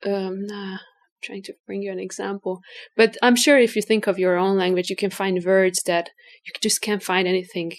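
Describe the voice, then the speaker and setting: A woman with a Hungarian accent answers interview questions in a relaxed, searching way. She pauses and restarts often as she works out her thoughts. Her pitch sits in a middling range. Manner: hesitant, thinking aloud with restarts and fillers. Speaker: guest artist. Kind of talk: casual interview.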